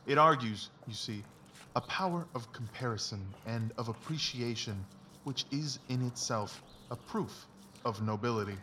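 Man performing a brilliant analytical detective, measured, self-assured, audiobook drama, distant from the mic, noisy background. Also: medium pitch, bright, forceful tone, close to an everyday voice. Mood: musing, philosophical. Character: brilliant analytical male detective, confident and philosophical.

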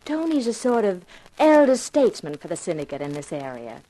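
An actress playing a poised, alluring young femme fatale with a coy, self-assured manner in a 1950s radio drama. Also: female voice, mid-range voice, sing-song, a little fast, warm tone, poor audio.

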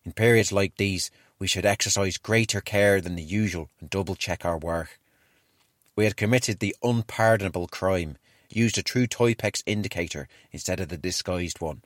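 A man's voice: low-pitched, dry recording, studio quality, lightly acted. A man playing an earnest wartime army cipher clerk, reading his diary in clipped, matter-of-fact phrases. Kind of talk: dramatic reading of a 1940s diary.

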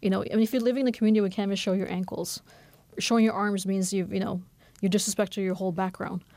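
A woman in her thirties, a novelist who grew up speaking Norwegian and has roots in Bangladesh, talking candidly and quickly in a radio interview with lots of fillers. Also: mid-range voice, no room sound. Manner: fast, candid, animated with many fillers. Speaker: novelist guest.

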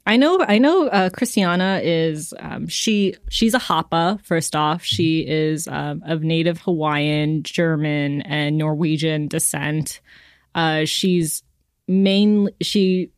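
A woman co-hosting a podcast, chatting casually about a book with lots of fillers and lively retellings. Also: deep voice, slow pace, dry recording, clean studio sound. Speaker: podcast co-host.

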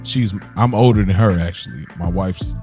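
A man's voice: deep voice, moderate pace, over music. A man co-hosting a podcast, chatting casually and earnestly, repeating phrases for emphasis. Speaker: podcast co-host. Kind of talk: casual conversation.

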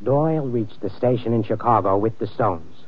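An actor playing a shrewd, scheming crook in an old-time radio crime drama, talking in a folksy, persuasive tough way. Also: male voice, fairly low pitch, lively intonation, moderate pace. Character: shrewd, scheming crook nicknamed Colonel, a man. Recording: dull old radio recording.

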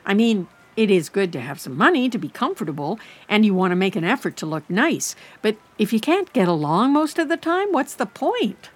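A woman's voice: medium pitch, big pitch swings, normal speed, few pauses, husky. An actress playing an anxious, prying middle-aged mother in an audio drama, fussing and rambling as she talks. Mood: reassuring, earnest. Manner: fussing, rambling, hesitant when defensive. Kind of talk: naturalistic audio drama dialogue.

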